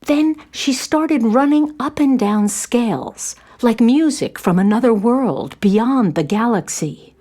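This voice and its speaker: Female narrator, children's story, slightly high voice, very lively intonation, scripted reading. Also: slow, few pauses.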